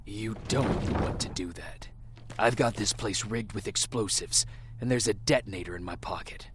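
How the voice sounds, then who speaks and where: An actor playing an urgent, commanding resistance soldier, speaking tersely in an action game. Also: male voice, on the low side, normal speed, slight background noise, lightly acted. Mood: grim, urgent. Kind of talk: dramatic action game dialogue.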